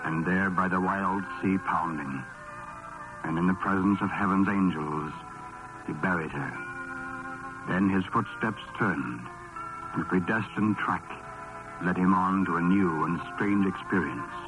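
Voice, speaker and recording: A man's voice: low voice, measured pace, rough recording. A man giving solemn, grandiose, poetic narration for an old-time radio drama. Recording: vintage 1950s radio recording. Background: music.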